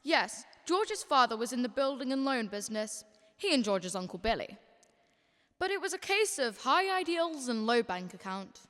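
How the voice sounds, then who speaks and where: Storyteller played by a young woman: high voice, scripted radio drama narration, long echo tail. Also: very animated, normal speed, fairly bright tone. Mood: storytelling. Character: storyteller narrating George's life.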